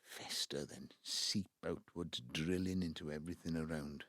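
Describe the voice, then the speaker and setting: An actor playing an embittered, wistful Welsh father in his seventies, with a South Wales Valleys accent and a halting, reflective delivery in an audio drama. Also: steady tone, a bit bright, no room sound, studio quality. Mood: bitter, brooding. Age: 70s. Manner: halting, reflective, breaking into low muttering. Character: embittered ageing Welsh patriarch, wistful and self-justifying. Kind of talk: naturalistic audio drama monologue.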